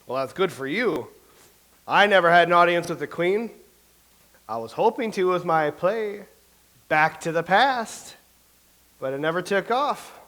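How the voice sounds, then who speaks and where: A self-pitying playwright out of an older age, played by a man, mourns his failures. His voice sits high and swoops up and down. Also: lively intonation, a little slow, long pauses, pushed and bright, exaggerated voice, rough recording. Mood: mopey. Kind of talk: staged play reading.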